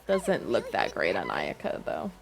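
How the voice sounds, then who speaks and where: A woman livestreaming a game with casual, rambling commentary, thinking aloud in a halting way and questioning herself. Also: rumbling low, huge pitch swings, vocal fry.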